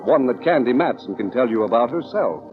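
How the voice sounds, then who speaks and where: A man's voice: mid-range voice, lively intonation, fairly quick. A man working as a radio announcer, delivering jaunty, showy scripted narration in 1940s style. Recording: dull old radio recording. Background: organ music.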